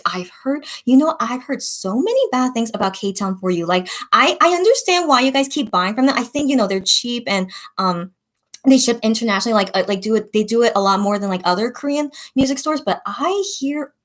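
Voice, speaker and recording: A young woman from the United States with a fairly high voice chats casually as she reads out viewer comments. She rambles, fills gaps with ums and likes, restarts sentences, and often lets her words trail off softly. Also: expressive, brisk. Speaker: online shop owner reading viewer comments. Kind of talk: casual livestream-style chat.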